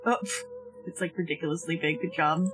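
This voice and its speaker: A woman's voice: deep voice, fairly even intonation, brisk, music in the background, low-quality recording. A woman chatting casually and swearing freely as she live streams a game.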